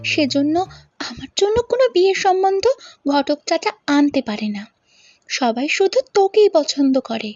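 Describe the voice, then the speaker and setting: A woman's voice: sits high, lively intonation, normal speed, a little mellow, no echo, soft background music. A woman voicing a vain, jealous young village sister in a cartoon fairy tale, complaining petulantly, in a dull lo-fi recording. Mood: resentful. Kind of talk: animated storybook dialogue.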